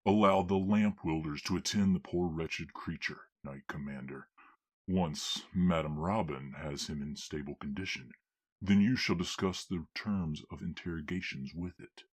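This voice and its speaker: An actor playing a stern, weary lord who keeps order among quarrelling officials, speaking slowly and with authority in an audio drama. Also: male voice, low-pitched. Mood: commanding. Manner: slow, measured, commanding. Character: stern older male lord, weary peacemaker.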